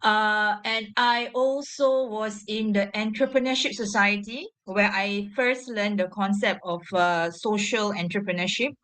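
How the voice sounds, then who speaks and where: A young adult woman from Singapore answering interview questions, pausing often with fillers. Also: mid-range voice, fairly even intonation, fairly bright tone. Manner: halting, frequent fillers and pauses. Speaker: guest, education social entrepreneur.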